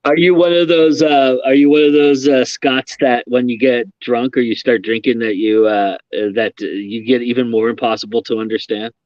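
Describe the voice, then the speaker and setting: Laughing, rambling podcast host, dry sound. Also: male voice, mid-range voice, fairly even intonation, normal speed, hardly any pauses, a little mellow, dry recording, dull audio. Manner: laughs often, rambling with many restarts. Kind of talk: casual interview chat.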